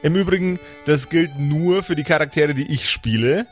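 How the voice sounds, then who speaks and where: A man moderates a tabletop game. His voice is a little higher than average and he explains in a casual, improvised way. Soft music sits behind him. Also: normal speed. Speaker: game master.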